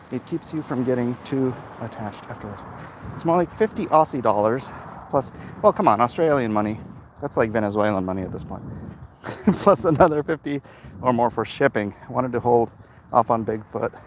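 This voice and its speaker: A man livestreaming casually to viewers on his phone while walking outdoors, rambling and emphatic. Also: mid-range voice. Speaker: livestream host, comic artist. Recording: noisy outdoor walking recording.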